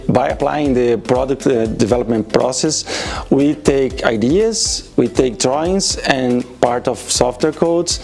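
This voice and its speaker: A man from Brazil speaks in a middle register with a clear Brazilian Portuguese accent. He answers interview questions carefully and pauses to find his words. Soft music plays quietly behind him. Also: mid-range voice. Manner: careful, with restarts and pauses, switching between English and Portuguese. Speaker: automation specialist interviewee.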